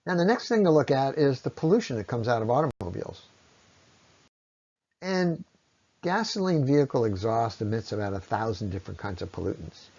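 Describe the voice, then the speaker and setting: A man giving an explanatory science lecture in a measured way, pausing often and letting his phrases trail off at the ends. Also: medium pitch, big pitch swings, a little mellow. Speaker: presenter on ecology. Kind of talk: explanatory lecture on environmental impact. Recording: dull, lo-fi voice recording.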